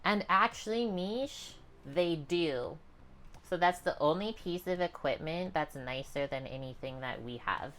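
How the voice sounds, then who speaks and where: A young woman with a calm, chatty manner, walking viewers through a home cooking session in her kitchen. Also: low-pitched, a little slow, a little hiss or hum. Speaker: cooking streamer. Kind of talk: casual cooking demonstration.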